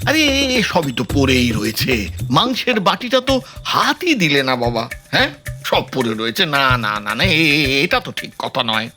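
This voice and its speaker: A man's voice: fairly high pitch, big pitch swings, moderate pace, pushed and bright, faint music behind. An actor playing a fussy, puzzled older father-in-law in a comic audio drama, exclaiming and repeating his words. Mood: puzzled, concerned.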